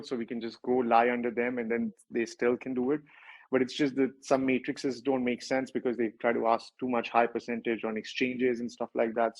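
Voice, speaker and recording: A man's voice: mid-range voice, poor audio. A man with a strong Indian accent, a project founder answering questions in a livestreamed interview, talking at length and often correcting himself. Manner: rambling, self-correcting, with many filler words.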